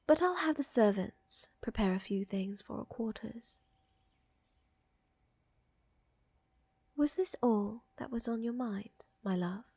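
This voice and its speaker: A woman playing a flirtatious, gently dominant vampire countess in an intimate ASMR roleplay, breathy and often whispering, with teasing, coaxing, formal wording. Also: on the high side, lively intonation, normal speed, no room sound, full character voice. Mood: teasing, tender.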